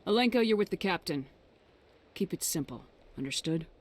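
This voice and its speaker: An actress playing a steady military commander in a video game, direct, commanding and reassuring. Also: female voice, fairly low pitch, moderate pace. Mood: brisk, commanding. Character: steady, confident military commander, an adult woman. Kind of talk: video game dialogue acting.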